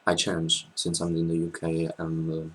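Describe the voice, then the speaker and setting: A man in his twenties with a French accent, chatting casually as a guest, hesitant with many pauses and restarts, recorded on a laptop webcam in a small room. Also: deep voice, completely flat delivery, fairly bright tone, breathy edge. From France. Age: 20s. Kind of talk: casual interview chat.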